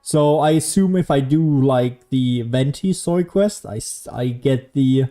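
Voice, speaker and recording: A man's voice: mid-range voice, a little slow, warm tone. A young man giving casual gameplay commentary, rambling, sweary and self-deprecating. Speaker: game streamer. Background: faint game music.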